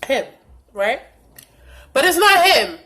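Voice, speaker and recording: A young woman tells a storytime vlog in a blunt, profane, animated way. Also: high voice, slow, a little hiss or hum. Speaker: vlogger.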